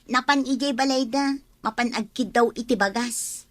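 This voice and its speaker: A woman's voice: fairly high pitch, normal speed, dry recording, natural voice. A woman with an Ilocano accent playing a struggling young mother, pleading and defensive, in a radio drama. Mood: complaining.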